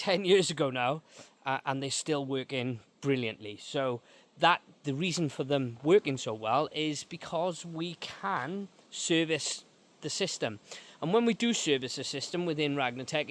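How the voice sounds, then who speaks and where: A man with a slightly high voice walks through a job as a working technician. He explains things step by step in an informal vlog style, pausing and feeling for words as he goes. He is recorded outdoors on a handheld camera. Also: a bit bright. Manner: explains step by step with halting pauses. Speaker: gate installer and repair technician presenting. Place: outdoors beside an electric gate.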